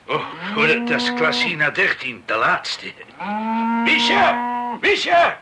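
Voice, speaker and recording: A man's voice: very high voice, huge pitch swings, slow, heavily acted. An actor playing a doddering old widower farmer in a radio play, stammering, fussy and eager, repeating his words. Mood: excitable. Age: older. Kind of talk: Dutch radio play acting. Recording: loud farmyard noise with cattle.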